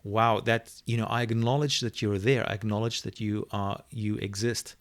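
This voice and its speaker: A middle-aged man whose first language is Afrikaans, reflectively telling a personal story as an interview guest and often pausing to search for words. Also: fairly low pitch, fairly even intonation, no room sound. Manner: thoughtful, searching for words, many restarts.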